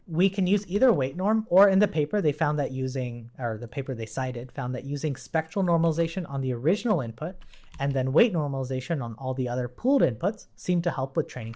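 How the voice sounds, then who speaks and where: A woman's voice: very deep voice, animated, fast, warm tone. A woman giving an informal live coding tutorial, explaining step by step as an instructor.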